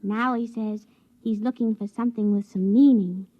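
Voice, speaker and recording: A woman's voice: mid-range voice, fairly even intonation, moderate pace, close to an everyday voice. A woman acting as a shy, starry-eyed young assistant in a 1950s radio drama, hesitant and tender. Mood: hopeful, shy. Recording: thin phone-like vintage radio recording.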